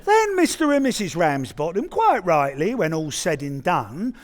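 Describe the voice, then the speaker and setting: Very high-pitched, animated man reciting comic verse. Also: normal speed. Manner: theatrical storytelling. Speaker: actor reciting poetry. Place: large theatre stage.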